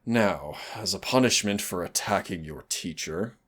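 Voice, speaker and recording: A man playing a teasing, lovestruck winged fire demon in an intimate audio roleplay, with a deep, husky, gravelly voice. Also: measured pace, a bit bright, full character voice. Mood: playful, teasing. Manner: intimate, teasing, addresses listener as darling.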